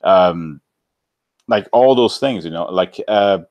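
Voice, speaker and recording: A man from Canada with a deep voice, talking casually as an interview guest in a rambling, informal way peppered with "like". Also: takes it slowly, no echo, highs cut off. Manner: rambling, informal, filler-heavy with many "like"s. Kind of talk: casual chat, personal storytelling.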